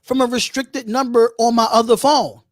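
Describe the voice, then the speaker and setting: A man's voice: extremely high pitch, flat delivery, moderate pace, no room sound, studio quality. A man hosting a casual live sports call-in stream, talking in slangy, repetitive phrases. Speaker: host of a sports call-in show.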